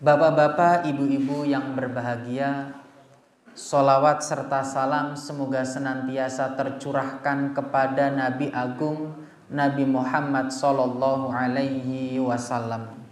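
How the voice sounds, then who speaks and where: A man with a Javanese Indonesian accent preaching to a gathering, addressing listeners directly with rhetorical questions. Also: medium pitch. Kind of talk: religious sermon to a gathering. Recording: echoey space, faint background noise.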